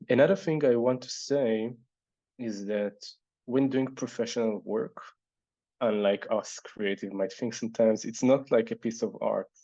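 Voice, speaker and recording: A man's voice: medium pitch, a little slow, mellow, husky, no echo, highs cut off. A man whose first language is Hebrew speaks English with a Hebrew accent. He hosts an online Q&A, thinking aloud hesitantly with many fillers. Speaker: event host reading audience questions. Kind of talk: casual online panel Q&A.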